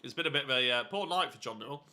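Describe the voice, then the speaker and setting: A man's voice: medium pitch, lively intonation, racing, pushed and bright, faint music behind. A young man giving live sim racing commentary, congested and nasal from hay fever, chatty and often correcting himself. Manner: chatty, self-correcting, apologetic asides.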